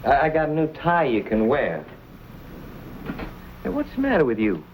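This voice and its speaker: An actor playing an eager, admiring young frontiersman in an old-time western drama, folksy and excitable. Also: medium pitch, normal speed. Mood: curious. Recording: noisy old radio-era recording.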